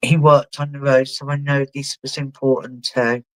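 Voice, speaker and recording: A man working as a spiritual medium, giving a live reading in a halting way and often asking the sitter whether it makes sense. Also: mid-range voice, little pitch movement, a bit bright, no echo, poor audio. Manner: halting, relays messages and keeps asking the sitter to confirm.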